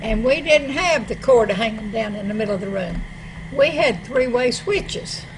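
A woman's voice: a little high, animated. A woman in her eighties with an East Tennessee accent, plainly reminiscing about her rural childhood in a small library room. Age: 80s. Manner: unhurried, plain-spoken. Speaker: storyteller. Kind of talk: casual reminiscing. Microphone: table microphone. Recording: noisy room recording.

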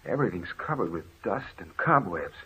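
A man's voice: low voice, big pitch swings, brisk, pushed and bright, breathy edge, exaggerated voice. An actor playing a curt, brooding man in an old-time radio horror drama, on a muffled, noisy recording. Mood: tense. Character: curt, brooding man sheltering in a spooky house.

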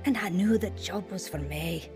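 A woman's voice: on the low side, moderate pace, over music, natural voice. A woman playing an earnest, devout mother telling her life story in a sincere radio drama style. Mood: reflective narration. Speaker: lead actor and narrator.

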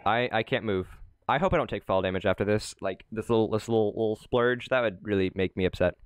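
A man's voice: fairly low pitch, fast talker, clean tone, no room sound. A young man hosting a gaming channel, chatting casually and rambling while he plays with friends, often laughing. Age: young adult. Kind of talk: casual gameplay commentary.

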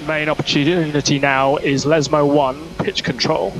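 A man's voice: a little high, flat delivery, unhurried. A man working as a race engineer, giving terse, clipped technical instructions over team radio. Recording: noisy motorsport team radio.

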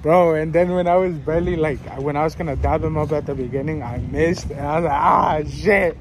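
A man's voice: fairly high pitch, a little fast. Slangy young man vlogging outdoors. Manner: slangy, laid-back, swears often. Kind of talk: casual vlog chat. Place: outdoors at a crowded street event. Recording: loud background noise.

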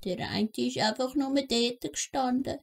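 A woman acts a kindly elderly gnome grandmother in a children's radio play. She speaks Swiss German in a creaky voice and takes her time over every sentence, like someone telling a story. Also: high voice, unhurried, full character voice. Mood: storytelling.

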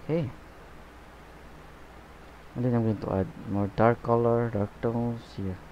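A man giving a painting tutorial as he works, talking in a relaxed, unhurried way and often saying "okay" and "guys". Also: mid-range voice, slight background noise. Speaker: painting instructor. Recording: slightly dull, lo-fi recording.

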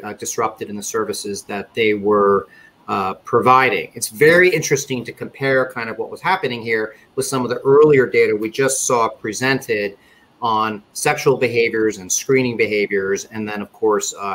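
A man's voice: on the low side, a bit bright, poor audio. Middle-aged man presenting over video call. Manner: measured, with frequent fillers and restarts. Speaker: conference panelist presenting survey results. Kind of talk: webinar presentation. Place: indoor office or home room.

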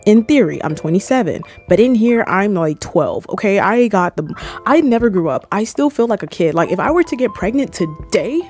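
A woman's voice: medium pitch, normal speed, faint music behind. A woman in her twenties from Cameroon, chatting playfully to camera, holding a close mic in a small room. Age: 20s. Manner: playful, animated, jokey with squealed asides. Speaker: YouTuber. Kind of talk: casual chat to camera. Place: small room with red lighting, indoors. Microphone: handheld mic close to the mouth.

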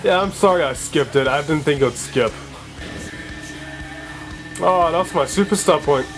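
A man's voice: fairly high pitch, moderate pace, over music. A young man with a slightly sore voice commenting casually on a wrestling video game and reading its dialogue aloud. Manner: chatty, excitable, reads game dialogue aloud. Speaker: let's play gamer.

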